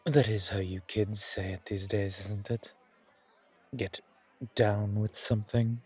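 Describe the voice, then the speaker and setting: Man voicing a slow, husky, courtly vampire gentleman. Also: on the low side, steady tone. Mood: playful, nostalgic. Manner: genteel, poetic, unhurried, flirtatious. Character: suave, courtly, old-world male vampire charming a stranger. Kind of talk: roleplay audio, ASMR horror.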